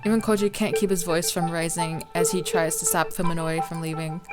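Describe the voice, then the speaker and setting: A woman's voice: fairly low pitch, flat delivery, fairly quick. A woman hosting a let's play, reading a visual novel aloud informally, with some stumbles and game music behind her.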